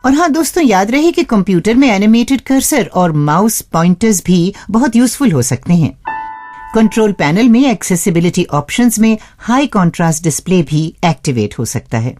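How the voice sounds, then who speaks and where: Lady radio host, lively up and down voice, explains stuff step by step to her listener friends, bit of music. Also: medium pitch, normal speed. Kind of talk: scripted radio presenting.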